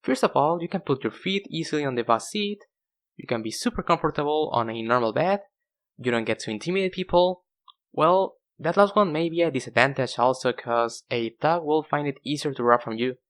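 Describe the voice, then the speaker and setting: A young man with as his language hosts a casual, comedic video. His voice sits on the high side for a man and swings widely in pitch as he pokes fun at himself. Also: brisk. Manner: self-deprecating, animated. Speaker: video host. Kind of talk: casual scripted comedic narration to viewers.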